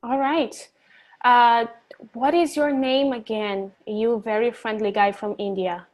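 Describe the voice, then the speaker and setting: A woman's voice: a little high, fairly even intonation, measured pace. Chatty, encouraging woman teacher on a call. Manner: chatty, encouraging, laughs easily, self-deprecating. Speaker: English teacher. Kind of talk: online class, casual teaching.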